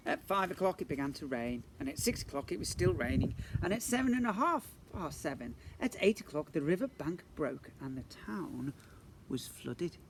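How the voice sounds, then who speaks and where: A man's voice: a little high, very animated, moderate pace. A man, a teacher, reading a storybook aloud to young children outdoors, playfully adding questions and asides. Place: outdoors in a garden. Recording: faint outdoor background noise.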